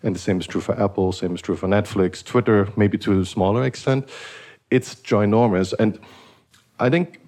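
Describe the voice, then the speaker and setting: Podcast host, man raised in Eastern Germany, low-pitched voice, musing and rambling delivery. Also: steady tone, moderate pace, darkish tone. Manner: thoughtful, rambling with many restarts. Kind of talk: casual conversational podcast.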